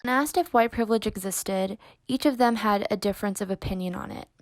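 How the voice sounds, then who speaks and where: A woman's voice: medium pitch, fairly quick, no echo. A woman narrating a short news report in a scripted, read-aloud way. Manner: even, read-aloud delivery. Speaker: student reporter.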